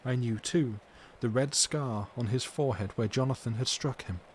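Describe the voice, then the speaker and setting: A man reads the part of a troubled young wife in a dramatic audiobook. She recounts earnestly and formally, at a measured pace, with tenderness and courage. There is light background noise. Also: medium pitch, lightly acted. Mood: troubled recounting. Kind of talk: dramatic audiobook character reading.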